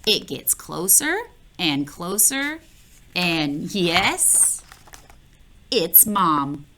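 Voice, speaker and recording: Lively woman reading a children's story. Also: fairly low pitch, drawn-out words, a little hiss or hum. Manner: expressive, playful, addresses the listener directly. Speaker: children's book reader. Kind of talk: read-aloud storytelling for children.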